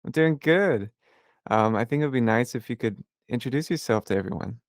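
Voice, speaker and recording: A man's voice: medium pitch, lively intonation, fairly quick, no room sound, clean studio sound. A man hosting a podcast, explaining history in a casual, rambling way with many fillers and restarts. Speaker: podcast host. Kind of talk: casual educational conversation.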